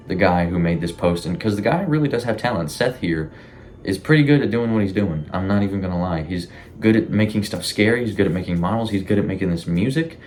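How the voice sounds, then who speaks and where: Very deep-voiced man rambling casually. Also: a little mellow, a little hiss or hum. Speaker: YouTube host. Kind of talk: casual commentary on a horror series.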